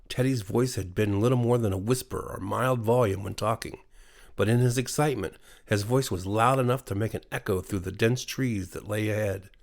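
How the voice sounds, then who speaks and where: A man's voice: fairly low pitch, vocal fry. A man with an American accent narrating a scripted bedtime horror story as a storyteller. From USA.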